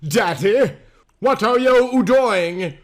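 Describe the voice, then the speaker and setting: A man's voice: high-pitched, animated, extremely slow, pitched above the performer's own voice, full character voice. A man voicing a furious, anguished young vampire heroine in a melodramatic amateur story reading. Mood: shocked. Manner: melodramatic, foul-mouthed outbursts.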